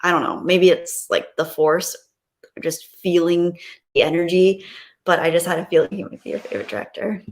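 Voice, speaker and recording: A woman's voice: fairly low pitch, level tone, moderate pace, slight background noise. A woman hosting a livestream, chatting casually and reading out viewer comments in a gushing, appreciative, playful way. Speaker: livestream host.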